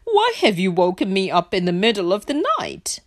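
A woman's voice: low voice, big pitch swings, slow, dry recording, pitched below the performer's own voice, exaggerated voice. Lady doing grumpy sleepy storybook pig, female, blunt and not scared of anything, dry close sound. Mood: angry, woken up. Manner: blunt, scoffing. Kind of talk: children's storybook character voice.

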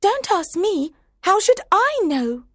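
A woman's voice: high-pitched, big pitch swings, slow pace, warm tone, dry recording, clean studio sound, heavily acted. A woman playing a curious, timid but plucky young girl in a children's storybook, speaking in a careful, girlish way. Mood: surprised, bold.

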